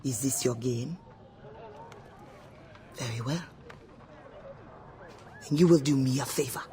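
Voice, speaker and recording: Slow, shrewd, commanding madam. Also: female voice, very deep voice, noisy background. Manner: deliberate, commanding, probing. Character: shrewd, imperious madam dealing in secrets. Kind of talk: video game cutscene acting.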